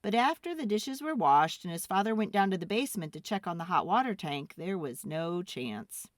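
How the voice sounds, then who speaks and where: Children's read-aloud narrator: woman, highly varied intonation, expressive and deliberate, dry close recording. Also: no room sound. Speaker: storyteller.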